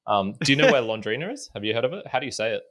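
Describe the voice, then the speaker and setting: A man's voice: mid-range voice, lively intonation, racing, fairly bright tone. A man hosting a podcast, chatting casually and rambling through his stories. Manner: rambling, many restarts and fillers. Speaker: podcast host. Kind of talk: casual chat.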